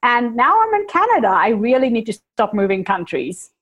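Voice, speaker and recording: A woman's voice: a little high, very animated, moderate pace, a bit bright. Chatty woman, South African accent. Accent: South African with New Zealand influence. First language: Afrikaans. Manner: chatty and candid, laughs at her own jokes. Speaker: podcast guest. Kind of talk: casual conversational storytelling.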